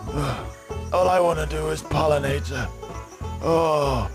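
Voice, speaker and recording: A man's voice: a little high, measured pace, music in the background, lightly acted. A man playing a crude, whining bee king reduced to begging, in a comic video game. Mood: lewd, irritated. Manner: crude, complaining, wheedling. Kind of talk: video game cutscene acting.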